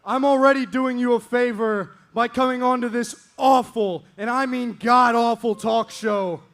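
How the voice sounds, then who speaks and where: Brief: cocky teen male wrestler, very high voice, yelling boasts in an arena. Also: steady tone, a little slow. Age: teens. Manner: arrogant, boastful, yelling at the crowd. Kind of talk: wrestling promo. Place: indoor arena.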